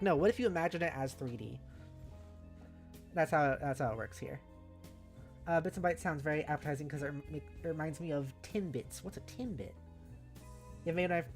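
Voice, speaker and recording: Low-pitched woman streamer chatting over music. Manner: sweary, playful, reacting aloud. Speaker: livestream host. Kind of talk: casual livestream chat. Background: faint music.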